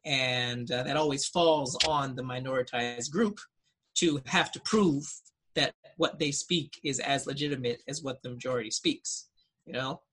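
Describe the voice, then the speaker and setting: Bright-voiced man, thoughtful and hesitant. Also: medium pitch, a little slow, slight background noise, poor audio. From United States. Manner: thoughtful, hesitant, many fillers and restarts. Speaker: student in a linguistics discussion. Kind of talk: casual video-call discussion. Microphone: video call.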